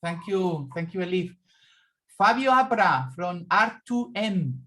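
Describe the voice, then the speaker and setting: A man moderating an online meeting over a video call, halting and repeating words as he calls on participants. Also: sits high, lively intonation, fairly bright tone.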